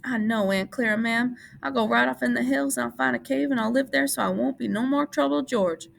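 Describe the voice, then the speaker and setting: A woman's voice: sits high, fairly quick, vocal fry, a bit bright, pitched above the performer's own voice. Woman doing a childlike pleading big man. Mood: miserable. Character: big simple-minded man, childlike and anxious.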